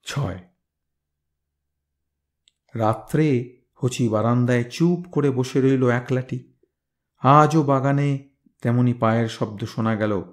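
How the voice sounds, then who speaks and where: A man reading a folktale as scripted narration, in a measured, expressive, dramatic manner. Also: mid-range voice. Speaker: storyteller, reader.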